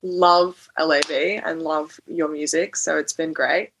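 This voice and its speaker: Woman, podcast host, bright voice, chatty, exclamatory, casual. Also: deep voice, fairly even intonation, unhurried, gravelly, slightly rough, poor audio. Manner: chatty, reacts with exclamations and swearing. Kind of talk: casual interview chat.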